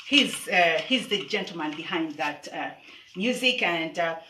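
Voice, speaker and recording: A woman's voice: fairly low pitch, lively intonation, unhurried, pushed and bright, recorded from a distance. A woman hosting a casual music livestream, chatting with many fillers and restarts. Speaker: host. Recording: echoey room, off-mic, faint noise.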